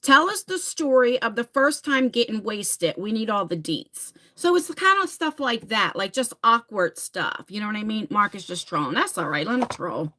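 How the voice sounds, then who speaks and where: A chatty woman in her late forties, from New Jersey, talks into her phone to her live-stream viewers. Her voice is bright and a touch high. Her pitch swings widely as she laughs and answers comments. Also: big pitch swings, moderate pace, few pauses. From New Jersey, USA. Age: 40s. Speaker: livestream host.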